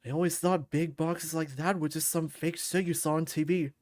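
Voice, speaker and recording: A woman's voice: low voice, steady tone, moderate pace, darkish tone, no echo, clean studio sound, voice pushed down, lightly acted. A woman voicing a brooding, guilt-ridden young male fighter in a visual novel, subdued, introspective and bitterly wry. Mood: bitter, wistful.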